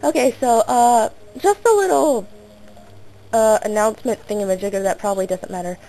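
A teenage girl with a hoarse, sore throat, rambling through casual gameplay commentary. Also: fairly high pitch, moderate pace, mellow, rough recording. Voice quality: gravelly. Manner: rambling and self-interrupting, coughing now and then. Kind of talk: casual let's play commentary.

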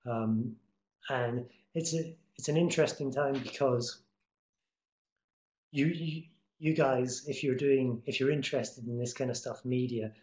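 A man's voice: medium pitch, a little slow, a little mellow. A middle-aged man giving students casual, encouraging career advice on an online call, with lots of fillers and restarts. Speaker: guest speaker, TV promo editor. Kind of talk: online talk answering students' questions. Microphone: webcam on video call. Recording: echoey room over video call.

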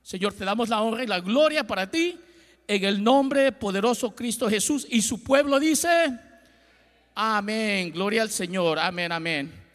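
High-voiced man preaching in a hall. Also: a little slow, a bit bright. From Ecuador. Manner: preaching with call-and-response, gesturing and pacing the stage. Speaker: pastor. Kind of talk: Spanish-language church sermon. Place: large church stage with lights. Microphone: handheld mic. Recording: long hall reverb.

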